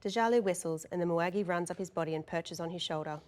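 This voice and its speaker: A woman's voice: lowish voice, fast, noisy background. A woman narrator reading stage directions and scene descriptions at a table read.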